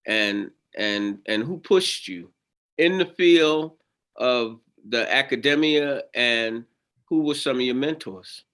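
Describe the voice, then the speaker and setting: A man's voice: medium pitch, slow pace, fairly bright tone. A man hosting an arts segment, asking long interview questions with many fillers and repeated words. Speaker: interviewer, show host.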